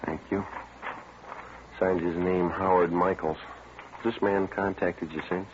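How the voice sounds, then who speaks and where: Terse detective sergeant, very low, matter-of-fact, vintage radio drama, dull recording. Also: male voice, fairly even intonation, slow, slight background noise. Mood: dry, businesslike. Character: terse, businesslike police detective sergeant, man. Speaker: narrator and lead actor of a detective series. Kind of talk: 1950s radio drama, clipped narration. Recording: muffled old radio recording, music.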